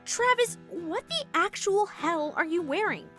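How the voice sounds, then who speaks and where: A woman plays a sardonic teen girl in a cartoon dub. Her high voice is lively and incredulous, with music clearly behind it. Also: measured pace, full character voice. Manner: snarky. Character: sardonic, incredulous teenage schoolgirl. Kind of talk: comic dub cartoon acting.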